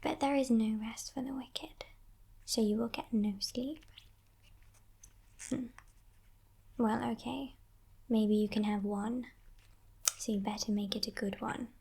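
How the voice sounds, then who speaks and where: A woman playing a sly, haughty female demon kidnapper in a whispered ASMR roleplay, breathy, teasing and condescending, coaxing with soft menace. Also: fairly high pitch, steady tone, full character voice. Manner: slow, teasing, condescending, softly threatening.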